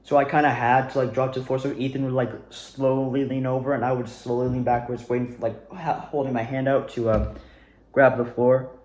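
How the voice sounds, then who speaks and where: A young man talking casually and loosely, recapping his experiences in an animated, rambling storytelling manner. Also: medium pitch, brisk. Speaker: amateur student actor reviewing own performances. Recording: roomy, echoey indoor sound.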